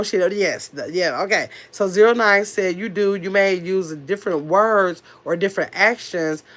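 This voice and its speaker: A woman's voice: fairly low pitch, a bit bright. A middle-aged woman with a nasal voice, coming down with a cold, chatting casually on her livestream and answering viewers' comments in a sassy, rambling way. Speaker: livestream host reading chat.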